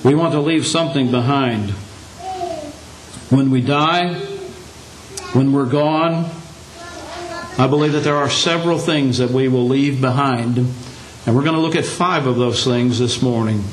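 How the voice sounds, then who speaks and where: An older man preaching a Sunday lesson, his voice hoarse and scratchy, speaking in a plain folksy way with a touch of humor. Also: mid-range voice, unhurried, long pauses. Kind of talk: sermon. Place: church meeting room. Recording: echoey room.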